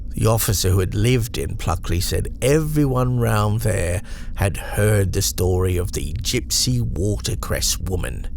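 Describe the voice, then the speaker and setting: Gravelly, haunted man acted by a male storyteller. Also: low voice, darkish tone, slight background noise, full character voice. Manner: shaken, haunted, halting with stammered repeats. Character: haunted, guilt-ridden man recording his terrifying confession. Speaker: storyteller reading own horror story. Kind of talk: first-person dramatic horror narration.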